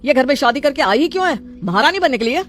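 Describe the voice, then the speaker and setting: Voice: sits high, sing-song, fast, fairly bright tone, faint music behind. A performer voicing an arrogant, scornful young husband who talks harshly and haughtily in a storybook drama. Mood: angry.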